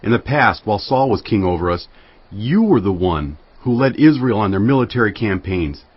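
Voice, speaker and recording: An actor playing a loyal Israelite elder, husky and solemn, declaiming in a dramatized audio Bible. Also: male voice, lowish voice, expressive, normal speed, no room sound. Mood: earnest, solemn. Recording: dull, lo-fi audio.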